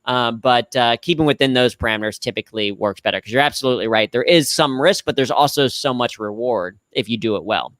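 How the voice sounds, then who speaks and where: A young man with a slightly nasal, smooth and resonant voice talks in an upbeat, explanatory way. He pokes fun at himself as he goes. The sound is close and dry, with no room echo. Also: medium pitch, fairly quick, few pauses, a bit bright. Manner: upbeat, explanatory, self-deprecating. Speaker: guest, humor trainer. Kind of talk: conversational interview answers.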